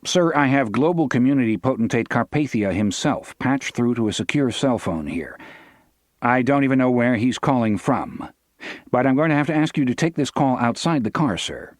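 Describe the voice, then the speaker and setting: A man's voice: medium pitch, lively intonation, moderate pace, no echo, clean studio sound, close to an everyday voice. A man voicing a formal, polite guard who talks in stilted official phrases, in an audiobook. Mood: brisk, official.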